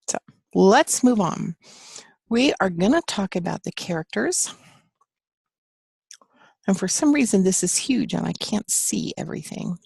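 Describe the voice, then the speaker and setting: A woman's voice: mid-range voice, creaky, darkish tone, slightly rough, dry recording. A woman teaching a live online class from her home office, chatting with students and reading their answers aloud in an encouraging way. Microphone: computer mic on a video call.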